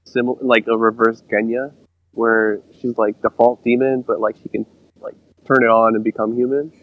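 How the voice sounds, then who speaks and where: A man co-hosting a casual fan podcast, feeling out his ideas in a hesitant, halting way. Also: mid-range voice, flat delivery, no echo, phone-quality sound.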